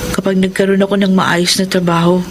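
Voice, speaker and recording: A woman's voice: medium pitch, level tone, fairly quick, background noise, close to an everyday voice. A woman acting an apologetic, grateful younger sister who is a student, earnest in a family audio drama. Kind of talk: dramatic Tagalog radio-style drama acting.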